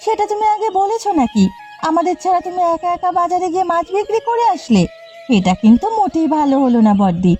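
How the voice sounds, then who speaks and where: A woman's voice: very high-pitched, expressive, fast talker, mellow, pitched above the performer's own voice. A woman voicing a pushy, money-minded younger sister who sells fish, wheedling and complaining in a cartoon. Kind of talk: Bengali cartoon dialogue. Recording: slightly muffled audio with background music. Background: background music.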